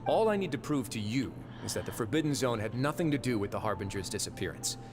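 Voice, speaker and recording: An actor playing a measured, wry and authoritative prison warden in a video game. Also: male voice, mid-range voice, lively intonation, noisy background, lightly acted. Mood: firm. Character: composed, shrewd adult male prison fortress warden. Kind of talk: video game dialogue acting.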